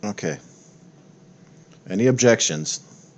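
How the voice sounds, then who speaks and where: A man with a lowish voice serves as game master. He narrates a tabletop roleplaying game and checks what the players want to do. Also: expressive, slow.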